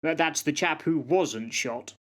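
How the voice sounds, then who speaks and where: A man's voice: a little high, measured pace, a bit bright. A man playing a fatalistic old sergeant-major in a radio play, telling yarns in a folksy, dramatic way. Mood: storytelling, fatalistic. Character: old soldier sergeant-major, fatalistic yarn-spinning raconteur. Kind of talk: amateur radio play acting.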